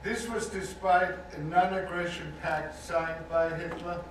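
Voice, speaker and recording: An older man from Denmark with a Danish accent gives earnest, measured personal testimony as a survivor speaking to an audience, stressing points by repeating words. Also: high voice, little pitch movement, noisy background. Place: university lecture hall with audience. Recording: echoey hall recording from far off.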